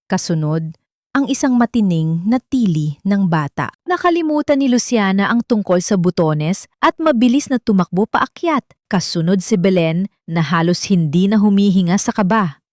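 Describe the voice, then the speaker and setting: A woman narrates an audio drama with a dramatic, scripted delivery. Her pitch is medium for a woman. The recording is very dry, with hardly any room in it. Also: animated, moderate pace, darkish tone, no echo.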